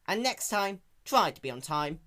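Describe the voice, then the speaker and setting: A man performs a no-nonsense young mare from a cartoon story. Her voice is raised high and bright, with a twang and a commanding, folksy manner. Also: very animated, normal speed, full character voice. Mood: firm. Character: firm, sensible young country farm mare, female.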